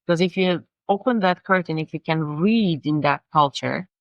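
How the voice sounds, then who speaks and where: Interview guest, middle-aged woman, Persian accent, Farsi native, lowish voice, talks haltingly over a video call. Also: no room sound, dull audio. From Iran. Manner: halting, searching for words, frequent restarts. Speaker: guest, designer and language coach. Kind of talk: casual interview conversation.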